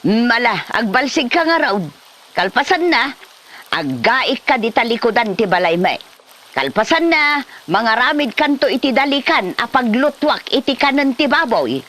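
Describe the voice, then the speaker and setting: A woman's voice: on the high side, very animated, normal speed, fairly bright tone. A woman with a Filipino Ilocano accent playing a bossy aunt who scolds and hands out chores in a comedy drama. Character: bossy aunt handing chores to lazy man.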